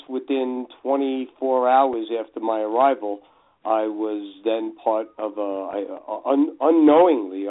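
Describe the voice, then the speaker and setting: A man with a New Jersey accent telling his story as an interview guest, often circling back on his phrases. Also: lowish voice. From northern New Jersey, US. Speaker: guest author. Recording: dull lo-fi radio call.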